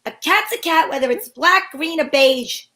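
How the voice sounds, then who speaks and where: A woman's voice: high voice, lively intonation, normal speed, very noisy. A woman playing a breezy, sensible, take-charge female sleuth in an old-time radio mystery, with a wry manner. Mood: wry, matter-of-fact. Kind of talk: old-time radio mystery acting with first-person narration.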